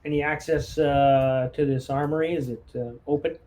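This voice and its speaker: A man's voice: on the high side, fairly even intonation, moderate pace, darkish tone. A man chatting casually as a player in a tabletop roleplaying game. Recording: faint noise, keyboard clicks.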